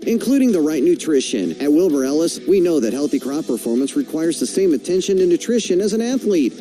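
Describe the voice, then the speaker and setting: A man narrates a scripted sponsor spot over faint music. His voice is slightly high yet very soft and mellow in tone. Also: rough recording. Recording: music bed under the voice.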